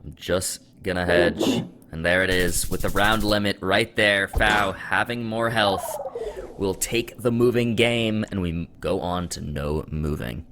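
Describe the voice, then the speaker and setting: A man giving live play-by-play commentary on a competitive game, voice jumping up with excitement at big moments. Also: deep voice. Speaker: esports commentator. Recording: music under the voice.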